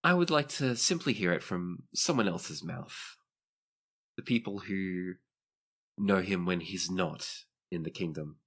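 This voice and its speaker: Man playing a royal young princess, low-pitched, courteous, sincere, formal phrasing, tabletop roleplay. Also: animated, unhurried, fairly bright tone, heavily acted. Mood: courteous, earnest.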